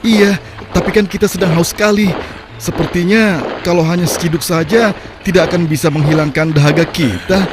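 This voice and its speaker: A man as a high-voiced, impatient thirsty soldier. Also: fairly even intonation, a little fast, background noise, natural voice. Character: thirsty, impatient, weak-willed marching soldier. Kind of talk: Indonesian cartoon voice acting.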